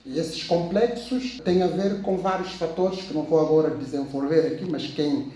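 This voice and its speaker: A man's voice: a little high, normal speed, warm tone. A man from Guinea-Bissau with a Guinea-Bissau Portuguese accent, preaching a sermon in a rambling way, repeating phrases and saying "pronto" often. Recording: very echoey room, voice from far off.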